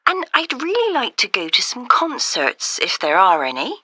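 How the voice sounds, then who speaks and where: Actress as eager, courteous female visitor, highly animated pitch, bright tone, clear enquiry-style delivery. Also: moderate pace, dry recording, full character voice. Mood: keen, polite. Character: keen, polite female visitor making an enquiry. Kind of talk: acted listening-test dialogue.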